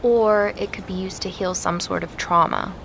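A woman's voice: medium pitch, fairly even intonation, moderate pace, gravelly, background noise, close to an everyday voice. A woman playing an inquisitive, stubborn podcast investigator in a naturalistic, conversational audio drama. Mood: curious. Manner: questioning, conversational.

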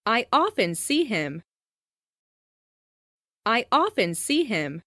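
Woman, language lesson narrator, very slow delivery, careful scripted phrases, dry studio sound. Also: fairly high pitch, sing-song, pushed and bright, no room sound. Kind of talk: scripted example sentences read slowly for learners.

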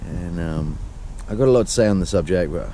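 A man in his forties vlogging outdoors by a motorhome on a camera held at arm's length, talking softly and thoughtfully. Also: animated, a little fast, a little hiss or hum. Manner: soft, reflective, breaks into delighted high chatter with animals. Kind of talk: casual travel vlog. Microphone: action camera held at arm's length.

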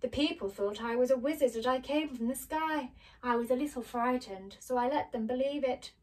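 A woman's voice: sits high, normal speed, recorded from a distance, lightly acted. A woman voicing a little man who poses as a grand storybook wizard, speaking formally and emphatically. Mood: apologetic.

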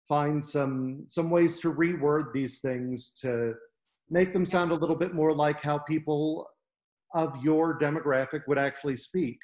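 A man in his thirties giving measured, slightly hesitant informal feedback over a video call from home. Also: on the high side, a little mellow. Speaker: audience member giving feedback. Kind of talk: informal, considered critique. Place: room at home by a window.

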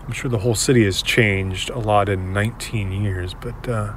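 A man playing an uneasy, reflective narrator in an audio drama, who talks in a halting, rambling, self-correcting way as if recording his thoughts on the move. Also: on the low side, steady tone, a little hiss or hum, lightly acted. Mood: wistful, puzzled. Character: uneasy, reflective man revisiting a creepy old apartment building. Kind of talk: naturalistic monologue, recording thoughts while on the move.

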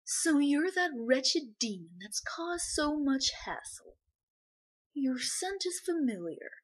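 A woman's voice: on the high side, breathy edge, full character voice. A woman voicing a cold, taunting, slightly mad fighter for an animation.

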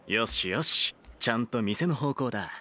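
A man's voice: medium pitch, lively intonation, moderate pace, bright, forceful tone. An actor playing a breezy, cool-headed male onlooker in an anime comedy, casual, blunt and wry. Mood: reassuring. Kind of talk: Japanese anime comedy voice acting. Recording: music playing behind the voice.

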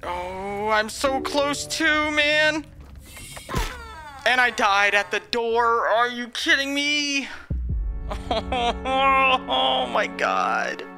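A man's voice: extremely high pitch, lively intonation, extremely slow, music in the background. A man reacting live to a video game, jumpy and exclaiming, often panicky and rambling. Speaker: gameplay streamer.

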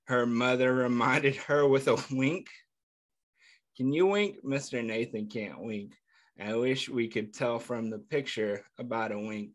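A man reading a picture book aloud to young children, pausing often to ask them questions and praise their answers, and calling himself by name in the third person. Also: mid-range voice. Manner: gentle, patient, asks questions and pauses for answers. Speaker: children's story reader.

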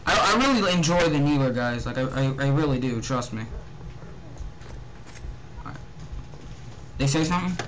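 A young man giving casual, excitable gameplay commentary, often muttering to himself and exclaiming as he plays. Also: medium pitch, moderate pace, long pauses, noisy background. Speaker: let's play gamer. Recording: loud game music and gunfire behind. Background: loud video game music and gunfire.

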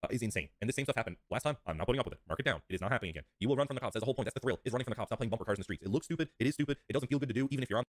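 A man's voice: mid-range voice, lively intonation, racing, few pauses, dry recording, clean studio sound. A man giving an informal presentation of rule changes as an admin, blunt and firm in the way he talks. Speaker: community server admin presenting rule updates.

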